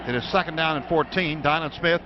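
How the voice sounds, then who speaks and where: An American man calling football play-by-play on the radio in clipped running descriptions that get excited on big plays. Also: fairly high pitch, a little fast. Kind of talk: live American football commentary. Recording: dull, noisy radio broadcast from stadium.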